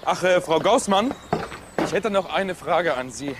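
A man's voice: on the high side, expressive, measured pace, background noise. A man playing a polite, eager junior police detective in a crime drama. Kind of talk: German TV crime drama acting.

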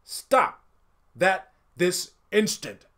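A man voicing a stern, authoritative village chief in a storybook folktale, making commanding, deliberate pronouncements. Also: high voice, very animated, a bit bright, pitched above the performer's own voice, exaggerated voice.

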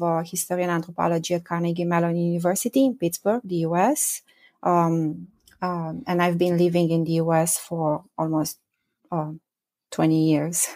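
A woman's voice: lowish voice, darkish tone. A woman in her forties from Romania, a history professor answering interview questions thoughtfully, with many ums and uhs. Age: 40s.

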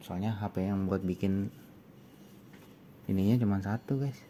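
A man giving a casual product review, talking informally and haltingly as he thinks aloud. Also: sits low, steady tone, mellow. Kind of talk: casual unboxing and review chat. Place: indoor room with tiled desk. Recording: clearly noisy room recording.

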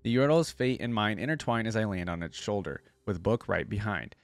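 Young guy reading a story n chatting about it, lowish voice, really dry mic. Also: lively intonation, brisk, no room sound. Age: young adult. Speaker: reaction video host. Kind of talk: reading a story aloud with casual commentary.

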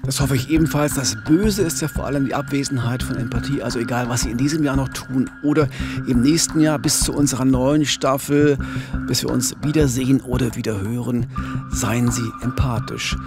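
A man's voice: medium pitch, steady tone, a little fast. A man in his fifties with a standard German accent, co-hosting a true-crime podcast on a close studio microphone. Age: 50s. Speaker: podcast co-host. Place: small indoor recording studio. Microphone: close studio microphone on boom arm. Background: music.

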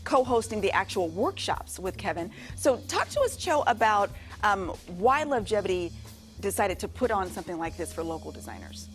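A woman's voice: medium pitch, very animated, normal speed, faint music behind. A woman hosting a morning TV show, chatty and enthusiastic, interviewing guests in a studio. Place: TV studio set.

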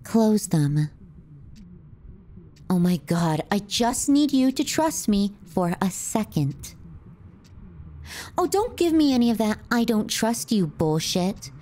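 A woman playing a teasing, callous, clingy younger sister in an intimate ASMR roleplay, sardonic and often hushed. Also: mid-range voice, big pitch swings, takes it slowly, pauses often. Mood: bossy, exasperated. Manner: sardonic, needling, dropping into hushed murmurs.

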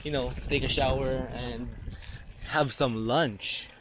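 A man's voice: mid-range voice, fairly even intonation, takes it slowly, background noise. A young man chatting casually to his camera as a family vlogger, outdoors at a campground. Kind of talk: casual vlog chat.

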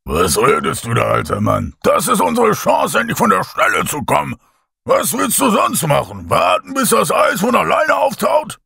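A man's voice: sits high, wildly swooping pitch, moderate pace, gravelly, pushed and bright, slightly rough, no echo, studio quality, pitched above the performer's own voice, exaggerated voice. A man voicing an angry ship captain who barks orders at his crew in a horror audiobook. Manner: barking orders, thundering.

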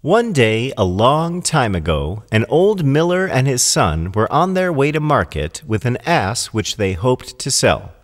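A man narrating a scripted storybook tale in an expressive, deliberate storytelling manner. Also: medium pitch. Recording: long hall-like reverb.

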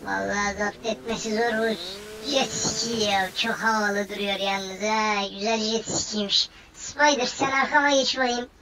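A playful, slangy gamer giving running commentary on a video game, boasting and repeating phrases excitedly. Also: medium pitch, unhurried. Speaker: gameplay video narrator. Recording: noisy, distant-sounding game commentary recording.

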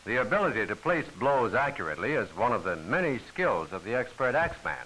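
Bright-voiced man narrating an old training film. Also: mid-range voice, very animated, brisk. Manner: formal, instructional phrasing. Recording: hissy old film soundtrack, noisy.

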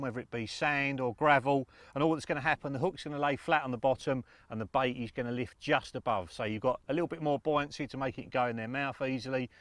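A middle-aged man presents angling tips to camera from a lakeside bank. His voice is mid-pitched and he explains things informally and plainly. The sound is dry, with no room echo. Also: fairly bright tone. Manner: matter-of-fact, explanatory.

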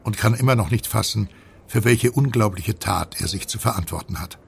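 An actor narrating a conspiracy thriller audio drama as a gravelly, husky storyteller with dramatic delivery. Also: male voice, fairly low pitch, a little fast, a little hiss or hum. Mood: suspenseful.

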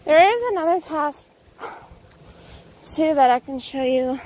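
A woman's voice: high-pitched, a little slow, darkish tone, rough recording. A young woman chatting casually while walking outdoors, filming herself on a phone at arm's length, a little out of breath. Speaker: vlogger. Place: outdoors on a suburban path. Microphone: phone held at arm's length.

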